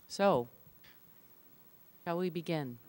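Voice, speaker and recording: A woman's voice: fairly low pitch, lively intonation, takes it slowly, darkish tone. A woman chairing a public meeting, giving polite, orderly procedural instructions.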